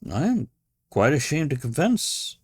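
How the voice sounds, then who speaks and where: A man voicing a polite, flustered young gentleman guest in a dramatic storybook reading, tentative, questioning and very deferential. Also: on the high side, big pitch swings, a little slow, breathy edge, no room sound, pitched above the performer's own voice, heavily acted. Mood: humbled, earnest.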